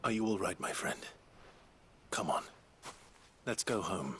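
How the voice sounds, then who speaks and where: An actor playing a grave but kindly young nobleman warrior in a video game cutscene, speaking in a solemn, measured way. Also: low voice, lively intonation, fairly bright tone, a little hiss or hum. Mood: gentle, solemn.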